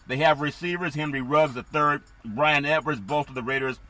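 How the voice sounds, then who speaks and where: A man hosting sports talk with a bright, forceful edge to his voice. It sounds a touch scratchy and raspy today. He rambles through team after team and keeps asking his partner for his thoughts. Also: fairly high pitch, normal speed. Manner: rambling, asks co-host for thoughts.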